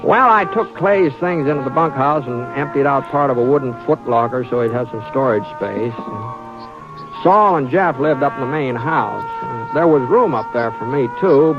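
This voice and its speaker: An actor playing a folksy, easygoing ranch hand who also narrates, in an old radio drama. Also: male voice, mid-range voice, gravelly. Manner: folksy, drawling, gentle. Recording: muffled old radio recording with music.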